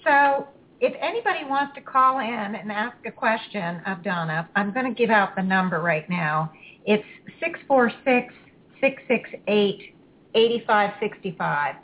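A woman's voice: medium pitch, expressive, measured pace, narrow, tinny audio. A woman hosting a call-in radio show, chatty and stop-start, often laughing.

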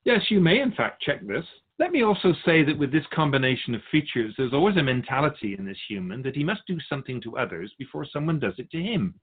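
A man playing a patient, lofty spirit guide who teaches in measured, formal phrases in a scripted audio drama. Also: medium pitch, sing-song, husky, phone-quality sound. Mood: measured, explanatory. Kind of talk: scripted dialogue in a fiction podcast.

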